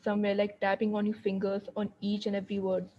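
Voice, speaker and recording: A woman's voice: mid-range voice, completely flat delivery, fast talker, lo-fi sound, a little hiss or hum. A young woman telling her own story in a testimonial, hesitant, with many repeated words and fillers. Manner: hesitant, frequent repetitions and fillers. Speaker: guest sharing her story. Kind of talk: personal testimonial.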